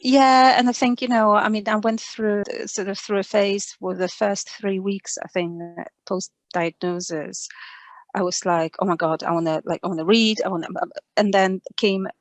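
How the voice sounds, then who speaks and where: A woman in her forties with a Polish accent, talking reflectively and casually, often halting and repeating words. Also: medium pitch, fairly even intonation, no echo. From Poland. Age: 40s. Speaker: interview guest, speech and language therapist.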